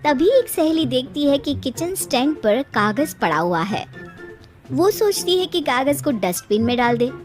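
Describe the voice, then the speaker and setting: A woman giving scripted storybook narration for an animated moral tale. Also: sits high, unhurried, soft background music.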